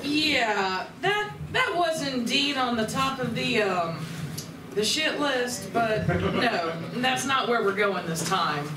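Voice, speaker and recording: A woman's voice: fairly high pitch, expressive, slow. Woman acting a breezy alien hustler, roomy sound. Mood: hedging. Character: breezy, cynical female tentacled alien con artist. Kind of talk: live comedy radio play acting. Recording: roomy, distant convention recording, faint noise.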